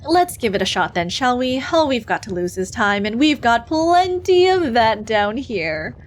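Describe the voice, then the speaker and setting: A woman playing a brisk, bureaucratic female demon interviewing souls in hell, officious and dryly condescending, in a scripted ASMR roleplay. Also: on the high side, very animated. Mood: surprised, dry.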